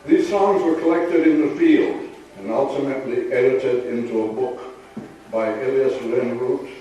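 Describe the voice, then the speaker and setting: A man's voice: medium pitch, unhurried, warm tone, highs cut off, faint music behind. Man introducing a program in a reverberant room. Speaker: program presenter. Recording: echoey room, distant mic.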